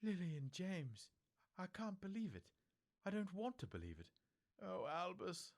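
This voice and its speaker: Man putting on a shrill, agitated older witch. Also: big pitch swings, a little slow, heavily acted. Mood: distressed, trembling. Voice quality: shrill, strained falsetto. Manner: emphatic, agitated. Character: stern older witch professor, anxious and indignant woman.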